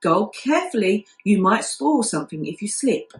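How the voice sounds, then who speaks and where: A woman's voice: mid-range voice, sing-song, moderate pace, distant mic. A woman voicing a kindly but bossy little female fairy doll in a storybook, earnest and instructing. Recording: echoey room.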